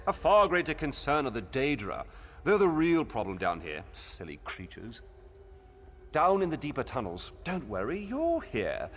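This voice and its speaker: A man's voice: a little high, a bit bright, full character voice. An actor playing an eccentric, absent-minded old wizard scholar in a video game, cheerful and pompous as he lectures. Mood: breezy, delighted. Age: older. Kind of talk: theatrical video game dialogue. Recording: echoing long reverb.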